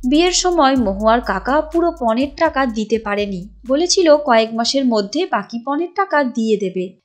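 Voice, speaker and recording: A woman reading scripted storytelling narration for a cartoon. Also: fairly high pitch. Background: clear background music.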